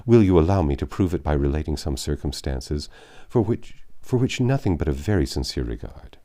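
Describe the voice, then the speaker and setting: A man voicing an earnest, anxious Regency gentleman colonel from a novel, speaking in a halting, formal, self-correcting way. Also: a bass voice. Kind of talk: storybook character reading.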